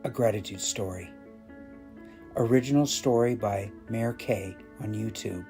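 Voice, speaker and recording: A man's voice: on the low side, measured pace, vocal fry, dark tone, soft background music. A man narrating a scripted short story as the storyteller.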